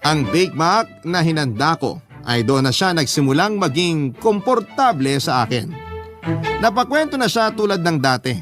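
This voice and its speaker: A Filipino male radio host narrates a scripted drama. His voice is on the higher side, and violin music plays clearly behind him. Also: sing-song, moderate pace.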